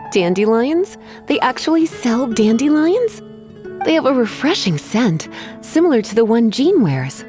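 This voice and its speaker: A woman's voice: fairly high pitch, sing-song, measured pace, dark tone. A woman voicing a teasing, self-assured librarian in a video game, coy and theatrical with a sweetly menacing edge. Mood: curious. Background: faint music.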